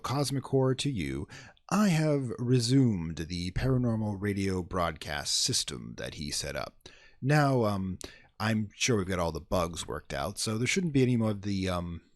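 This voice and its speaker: A man's voice: low-pitched, no room sound, studio quality. A man hosting a horror radio show, reading a scripted introduction in a theatrical, showman-like manner. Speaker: host.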